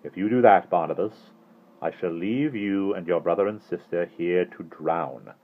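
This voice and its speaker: A man voices a storybook villain, arrogant and commanding. He is deep, icy and immensely calm, with a muffled, telephone-thin recording. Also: normal speed. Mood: cold threat.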